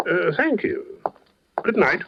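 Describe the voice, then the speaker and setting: A man's voice: sits high, sing-song, background noise, heavily acted. Sardonic male police captain, knowing and formal, widely swinging intonation, dull forties radio suspense recording. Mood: rueful, formal. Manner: measured, knowing, dryly formal. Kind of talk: 1940s radio suspense acting. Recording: dull, lo-fi 1940s radio broadcast.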